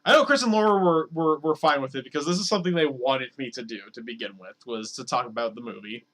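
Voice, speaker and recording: A man streaming games, talking casually and rambling with lots of fillers, his voice slightly hoarse. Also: medium pitch, fast talker, fairly bright tone. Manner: rambling, filler-heavy, repeats words. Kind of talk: casual live-stream chat over gameplay.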